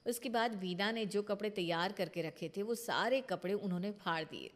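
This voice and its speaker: A woman's voice: mid-range voice, normal speed. A woman narrating a scripted bedtime moral story as its storyteller.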